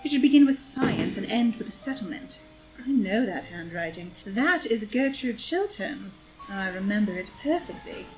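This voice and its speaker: A woman's voice: medium pitch, expressive, normal speed, music in the background, close to an everyday voice, rough recording. A woman playing an elegant, haughty and scheming society villainess in an audio drama reading, arch and disdainful.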